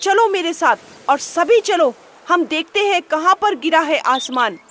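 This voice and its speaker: A woman's voice: very high-pitched, animated, a little slow, slight background noise, pitched above the performer's own voice, heavily acted. A woman doing a roaring lion king. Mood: commanding. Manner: loud, roaring demands. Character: commanding male lion king of the jungle.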